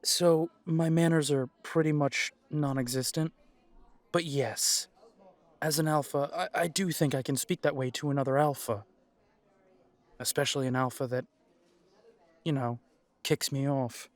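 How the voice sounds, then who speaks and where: A man's voice: on the high side, steady tone, measured pace, pauses often, lightly acted. A man playing a cocky, wry werewolf alpha and former soldier in an audio drama, sardonic and casual in his challenge.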